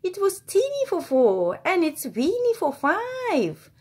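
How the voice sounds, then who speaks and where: A fretful little old lady from a children's storybook, complains in long, dramatic wails. Her voice is high and swoops widely as she drags out each rhyme. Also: wildly swooping pitch, a little mellow, exaggerated voice. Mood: distressed wailing. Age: older. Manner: wailing, drawn-out complaining. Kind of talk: rhyming children's storybook read aloud.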